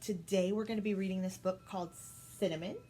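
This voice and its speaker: A woman's voice: mid-range voice, fairly even intonation, quick pace, warm tone. A woman reading a children's story aloud as a storytime host. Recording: some background noise.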